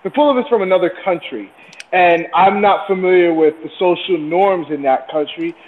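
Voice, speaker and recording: A man in a casual podcast chat who thinks out loud at a measured pace. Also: high-pitched, big-room sound, narrow, tinny audio, slight background noise. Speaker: podcast co-host.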